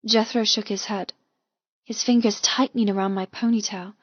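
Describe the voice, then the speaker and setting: A woman reading a first-person romance audiobook with intense, expressive, dramatic delivery. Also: mid-range voice, moderate pace, no room sound, highs cut off. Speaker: audiobook narrator.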